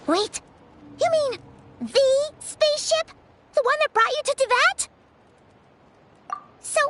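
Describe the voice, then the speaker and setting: A woman voicing a tiny, excitable, chatty childlike fairy companion in a video game, squeaky and full of exclamations. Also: slight background noise, heavily acted. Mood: amazed. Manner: bubbly, exclamatory, refers to self by name. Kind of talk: animated video game dialogue.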